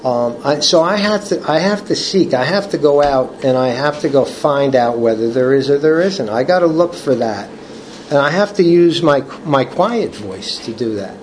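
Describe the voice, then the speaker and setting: An older man leading a recovery study group, reading from the book and sharing personal testimony in a halting, earnest way with many restarts. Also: mid-range voice, lively intonation, a little mellow, a little hiss or hum. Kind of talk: casual talk reading from a book.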